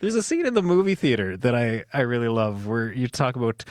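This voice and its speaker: A man's voice: medium pitch, big pitch swings, brisk, no echo, clean studio sound. A man hosting a radio interview, enthusiastic and often restarting his words as he asks questions. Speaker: radio host. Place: radio studio.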